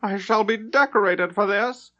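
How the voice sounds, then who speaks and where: Man voicing a pompous sneering elf captain, pitched up. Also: normal speed, pushed and bright, lo-fi sound. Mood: gloating. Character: haughty sneering male elven ship captain, self-important royal. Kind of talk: audiobook character acting in a fantasy story.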